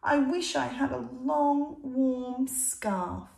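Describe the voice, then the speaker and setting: Plaintive giraffe from a storybook, read by a woman, high pitch, slow. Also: animated, vocal fry, darkish tone, full character voice. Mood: sad. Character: sad cold giraffe with a long neck. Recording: roomy echo.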